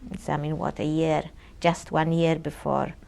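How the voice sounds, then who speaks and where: This is a Polish-born woman in her sixties recorded on an old 1980s cassette, giving testimony. Her voice is gravelly, and her speech is halting and searching, full of ums and restarts. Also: sits low, level tone, darkish tone. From Poland. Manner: hesitant, searching for words with many ums and restarts. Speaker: interviewee. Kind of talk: oral history testimony. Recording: 1980s audio cassette interview.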